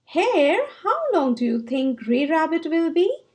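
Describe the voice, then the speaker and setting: A storybook squirrel, played by a woman, female, bossy and concerned. The voice is very high and swoops about as she calls out, without any rush. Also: very animated, measured pace, darkish tone. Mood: scolding. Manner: exclaiming, calling out.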